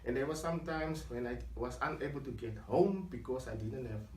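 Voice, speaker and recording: A man's voice: mid-range voice, normal speed, darkish tone. Afrikaans-speaking man, echoey distant mic. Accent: South African. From South Africa. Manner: earnest, reflective storytelling. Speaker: guest. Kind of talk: conversational life story.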